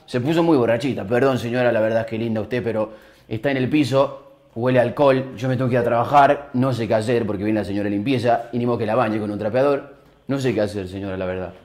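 A man's voice: medium pitch, fast talker, darkish tone. A man in his twenties with a Mexican accent, bantering playfully as the host of phone pranks. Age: 20s. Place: small indoor studio room.

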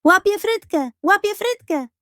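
A woman acts out an eager little boy in a children's audiobook, high and breathless. He is wide-eyed and enthusiastic, a younger brother thrilled by everything, heard in a dry recording. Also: lively intonation, measured pace, sharp tone, no echo, full character voice.

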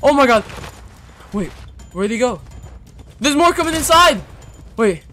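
A young man acting as an excitable zombie apocalypse survivor in an amateur game roleplay. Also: very high voice, measured pace, soft background music, heavily acted. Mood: panicked, urgent. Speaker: lead player in a game roleplay video.

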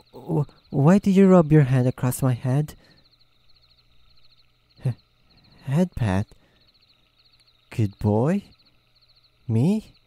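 A man voicing a proud, wary, easily flustered male nine-tailed fox spirit in a halting roleplay audio drama. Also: mid-range voice, mellow, dry recording. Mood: flustered, indignant. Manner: hesitant, halting, muttering to himself.

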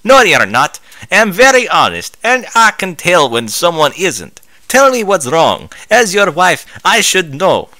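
A man's voice: high voice, huge pitch swings, a little slow, sharp tone, dry recording, voice pushed up, heavily acted. A man voicing a folksy, frank farm mare and reassuring wife in a story, blunt but affectionate, with a put-on American Southern accent. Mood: firm, caring.

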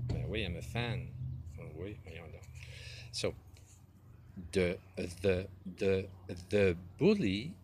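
A man in his fifties with a Quebec French accent, a native French speaker who rambles in a self-mocking way and mixes French words into his English. He films himself outdoors with a phone held low at arm's length. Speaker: vlogger. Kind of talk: improvised comedy vlog, talking to an imagined partner. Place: outdoors by a stone wall under trees. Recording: outdoor noise and passing traffic.

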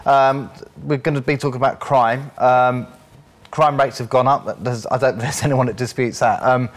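A man's voice: mid-range voice, steady tone, moderate pace. A man in his thirties hosting a local political interview, asking questions with frequent ums and uhs. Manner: hesitant, many ums and uhs. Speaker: host.

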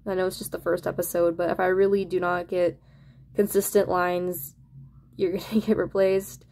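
A woman's voice: lowish voice, flat delivery, normal speed, creaky, a little mellow. A woman host giving a casual, apologetic and pleading update to her online viewers. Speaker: channel host and amateur animator.

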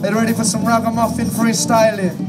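High-pitched exuberant frontman over loud band music. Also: little pitch movement, fast. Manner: exuberant, shouted, half-sung calls to the crowd. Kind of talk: live stage hype announcing. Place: outdoor festival stage at night. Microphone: stage PA picked up by far camera. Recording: distant camera capture, loud live band. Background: loud guitar and band music.

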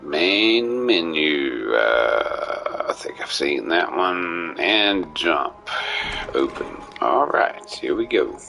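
A man's voice: rumbling low, big pitch swings, very slow, creaky, bright, forceful tone, faint music behind. A man giving casual, playful and theatrical gameplay commentary as he streams, sometimes slipping into sing-song. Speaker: game streamer.

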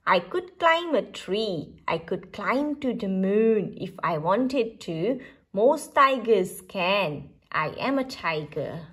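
A woman's voice: medium pitch, big pitch swings, slow pace, husky, full character voice. A woman voicing a small, boastful, stubborn storybook mouse who insists on being a tiger. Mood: cocky, stubborn. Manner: insistent, cocky declarations.

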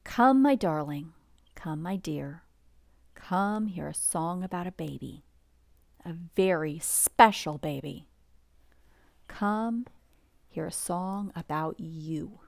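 A woman reads a bedtime story very slowly, in a slightly low voice. Her delivery is gentle and expressive, shaped for a picture book read-aloud. The sound is close and dry. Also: vocal fry, dry recording.

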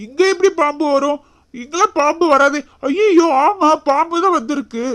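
A performer voicing a bossy, confident young village husband, brisk and repetitive, in an animated story. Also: sits high. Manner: brisk, bossy, repeats himself.

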